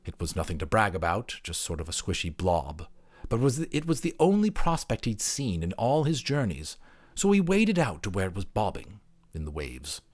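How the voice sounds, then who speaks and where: A man reading an audiobook as a dramatic, expressive storyteller. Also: lowish voice, moderate pace. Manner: theatrical, expressive. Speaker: audiobook narrator.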